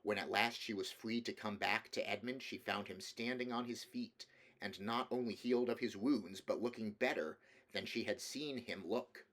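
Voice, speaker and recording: Low-voiced man reading a storybook. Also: moderate pace, fairly bright tone. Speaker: audiobook reader. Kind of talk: scripted storybook narration.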